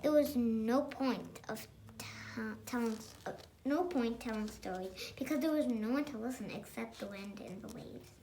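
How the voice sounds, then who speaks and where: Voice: mid-range voice, moderate pace, mellow, a little hiss or hum, poor audio. A child reading a picture book aloud expressively, with chatty asides. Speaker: storybook reader.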